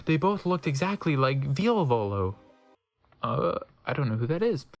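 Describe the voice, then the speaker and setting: Animated narrator, dramatic comic reading, wry asides, mid pitch, faint music. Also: moderate pace, no room sound. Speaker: narrator, reader.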